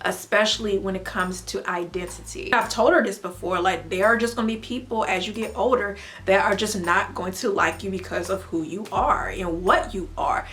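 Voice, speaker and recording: A young woman from the United States talking casually to her viewers as a mother, earnest and faith-filled, with playful animated bursts. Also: medium pitch, moderate pace, few pauses, a little hiss or hum. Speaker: vlogger and mom. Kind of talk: casual talk to camera.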